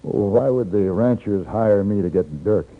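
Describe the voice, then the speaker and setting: An American actor playing a calm, plain-spoken captured gunfighter in a 1950s radio western, narrating and talking in a measured way. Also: male voice, fairly low pitch. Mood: earnest. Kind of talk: 1950s radio western acting, first-person narration and dialogue. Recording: muffled 1950s radio recording, music behind.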